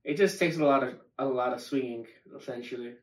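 A man's voice: medium pitch, brisk. Profane young man chatting in an echoey room. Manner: profane, repetitive, acts out imagined dialogue. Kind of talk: casual group banter. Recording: echoey room, far from mic.

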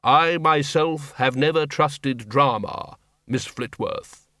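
A man voicing a grave male stranger who speaks slowly and formally. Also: fairly high pitch, bright, forceful tone, dry recording, studio quality. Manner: slow, formal, measured. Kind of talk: audiobook character voice.

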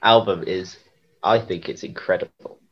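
A man's voice: low voice, steady tone, normal speed. A young man co-hosting a podcast, chatting casually and enthusiastically and joking along. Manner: enthusiastic, jokey. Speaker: podcast co-host. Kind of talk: casual chat, interjecting and agreeing. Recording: slightly dull, lo-fi microphone.

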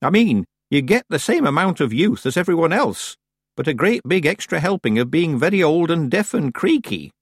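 A man voicing a very old, sharp-tongued village witch woman who bosses people about in an imperious, fussy way. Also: fairly high pitch, very animated, moderate pace, husky, no echo, clean studio sound, pitched above the performer's own voice, heavily acted. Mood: brisk.

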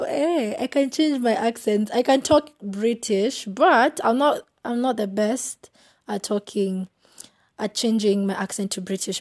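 A young woman with a Kenyan accent, hosting a casual solo podcast, giggly and playful, often trailing off into soft asides. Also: mid-range voice. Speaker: podcast host.

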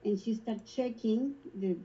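A woman's voice: on the high side, measured pace, dark tone, slightly rough, dull audio. A woman with a Spanish accent who works in a school front office, telling stories in a casual interview and laughing often. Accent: Spanish-speaking.

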